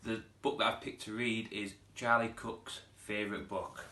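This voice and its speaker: A man's voice: fairly low pitch, moderate pace, roomy sound. A man, a primary school teacher reading a children's storybook aloud expressively. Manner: expressive, putting on character voices.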